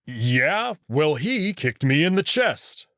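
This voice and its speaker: A man voicing a massive male alien wolf in a visual novel, expectant and easily exasperated, with a halting, coaxing manner. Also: a little high, big pitch swings, fairly bright tone, no room sound, clean studio sound, full character voice. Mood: frustrated.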